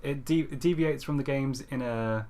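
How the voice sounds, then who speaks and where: A man's voice: mid-range voice, moderate pace, warm tone. A man co-hosting a podcast, chatting casually and bluntly about his opinions on films in an informal, sweary way. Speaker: podcast host presenting a top five list. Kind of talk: casual film chat.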